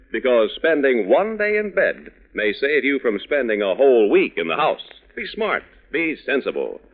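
A man announcing on 1940s radio in a scripted, folksy, instructive and emphatic style. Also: mid-range voice. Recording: old narrow-band radio recording.

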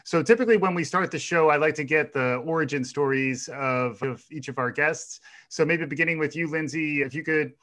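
Man, podcast host, enthusiastic, bright tense voice. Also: fairly high pitch, fairly quick, few pauses. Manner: enthusiastic, encouraging interviewer. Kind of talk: casual interview.

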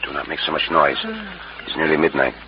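An actor playing a commanding Mexican cowboy adventurer with an accent and brisk formal phrasing, in an old-time radio western. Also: male voice, extremely low pitch, steady tone. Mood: urgent, hushed. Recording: muffled old radio recording, clear noise.

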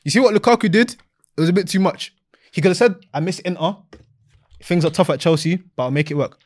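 A man talks football in casual, slang-heavy banter. His voice sits a little high and races along at a very fast clip. He laughs often between points. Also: darkish tone. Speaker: podcast co-host.

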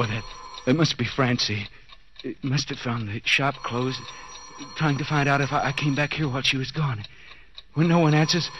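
A man's voice: on the high side, a bit bright, lightly acted. An actor playing a bound, desperate man coming apart in a cellar, stammering and pleading in overwrought 1940s radio suspense style. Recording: dull, noisy 1940s radio recording.

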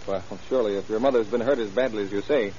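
A man's voice: fairly low pitch, fast, warm tone, lightly acted. An actor playing a soothing, mildly skeptical hotel man who coaxes and reassures, in a vintage radio drama. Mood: coaxing, reassuring. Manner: coaxing, reassuring, talking down to a child. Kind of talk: 1930s-40s crime radio drama acting. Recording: dull old radio recording, clear hiss.

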